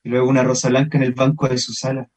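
A man's voice: mid-range voice, completely flat delivery, fast talker, darkish tone, close to an everyday voice. An actor with a Chilean accent playing a thoughtful, curious young man in radio drama dialogue, earnest and questioning. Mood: somber.

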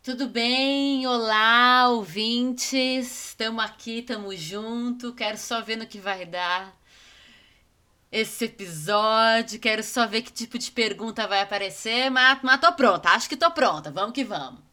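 A woman co-hosts a casual podcast, working through a question aloud. Her voice sits a little high, and she rambles and reflects, breaking off mid-phrase to correct herself. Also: unhurried, pushed and bright. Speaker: podcast co-host.

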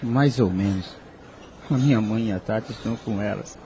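A mellow, low-voiced Brazilian man played by an actor in a radio soap. He is tender and weighed down by guilt, with faint noise behind him. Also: moderate pace, lightly acted. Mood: concerned. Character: guilt-ridden man, tender, troubled and longing.

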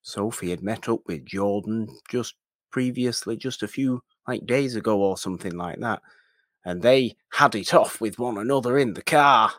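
A man co-hosting a podcast, discussing a film casually and pausing often to choose his words. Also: lowish voice, a bit bright, no room sound. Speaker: podcast co-host.

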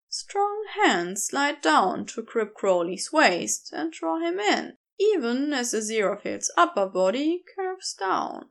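Slow, high-voiced woman narrating fiction. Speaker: podfic narrator.

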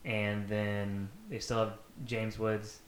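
A man's voice: lowish voice, little pitch movement, slow pace. Man chatting in an echoey room. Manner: conversational, frequent use of "like". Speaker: podcast co-host. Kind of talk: casual panel chat. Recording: echoey room with faint noise.

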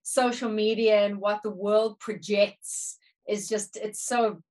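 A woman's voice: medium pitch, fairly even intonation, slow, darkish tone. A woman in her thirties from South Africa, her accent partly Americanized, talking easily as a musician guest. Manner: easygoing, thoughtful, uses "like" often. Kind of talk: casual interview chat.

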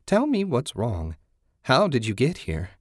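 A man voicing an eerie old woman hermit in a story, folksy and hospitable yet sly, with drawn-out phrasing. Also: medium pitch, wildly swooping pitch, no room sound, clean studio sound, pitched above the performer's own voice. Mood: knowing, unruffled.

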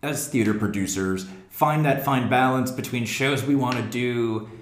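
An American man hosts a casual podcast discussion, his voice at an ordinary middle pitch. He thinks out loud and often puts questions to the others. The room adds a clear echo around his words. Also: normal speed. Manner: thinks aloud, poses questions to the group. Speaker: podcast host and theater producer. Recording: echoey room.

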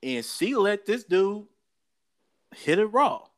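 A man's voice: sits high, very animated, takes it slowly. An American man co-hosting a casual sports talk show, giving opinions in an emphatic, colloquial way and repeating phrases for effect.

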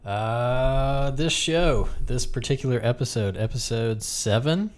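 A man's voice: mid-range voice, expressive, measured pace. A man hosting a casual podcast, chatting in a joking, sarcastic way with his co-host. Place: room with another person.

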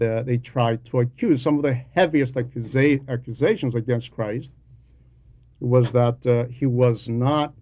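Slow-paced man preaching a homily. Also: mid-range voice, no room sound, dull audio. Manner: deliberate, emphatic, repeats key phrases for weight. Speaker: homilist reading a translated homily. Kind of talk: sermon, teaching homily.

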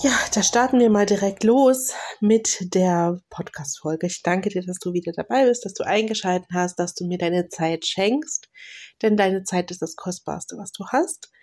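A woman's voice: medium pitch, normal speed, darkish tone, soft background music. Woman with a cold, chatty podcast host. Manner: hesitant, many fillers and restarts; clears throat now and then. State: has a cold. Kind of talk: solo podcast talk.

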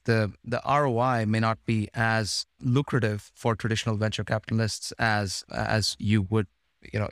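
A man's voice: fairly low pitch, dry recording, studio quality. A man hosting a podcast, chatting casually and rambling with many restarts. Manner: rambling, restarts phrases, fillers. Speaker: podcast host. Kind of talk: casual interview talk.

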